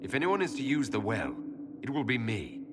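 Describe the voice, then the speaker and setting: An actor playing a cautious, protective elven leader in a fantasy game, questioning earnestly and firmly. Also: male voice, mid-range voice, wildly swooping pitch, fairly quick. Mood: grave, resolute. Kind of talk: dramatic fantasy game dialogue. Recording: clear ambient noise behind voice.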